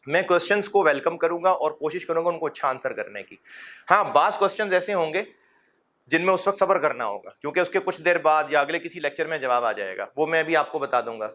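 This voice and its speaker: Middle-aged man, accounting lecturer: bright, forward voice, pitched a touch high, coaxing and fatherly with the class. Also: animated, quick pace. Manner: fatherly and encouraging, addresses students as son; rhetorical questions, gentle humor.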